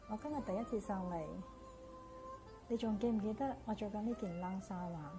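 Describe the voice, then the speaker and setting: An actress plays a wistful, sentimental woman in a Cantonese radio drama. She speaks gently at a middle pitch with a Hong Kong accent, while music plays clearly behind her. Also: close to an everyday voice, low-quality recording. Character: wistful, grieving counselling client attached to late mother.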